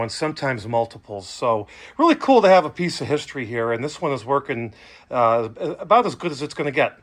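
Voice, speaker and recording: A man's voice: medium pitch, fairly bright tone. A middle-aged man giving a casual, conversational demonstration as a hobbyist host, with an enthusiastic manner. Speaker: hobbyist host demonstrating vintage audio gear. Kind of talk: casual product demo talk.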